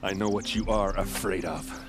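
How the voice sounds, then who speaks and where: An actor voicing a blunt, wry warrior captain, loyal and grieving, in a cinematic video game. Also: male voice, low voice, fairly even intonation, moderate pace, music in the background, natural voice. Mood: cold, menacing. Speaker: captain of the guard.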